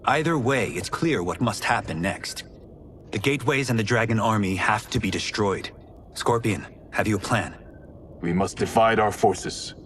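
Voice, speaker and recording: An actor playing a stern, resolute warrior leader in a video game, firm and commanding, recorded a little distantly. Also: male voice, fairly low pitch, normal speed, a bit bright, a little hiss or hum, lightly acted. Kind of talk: video game cutscene acting.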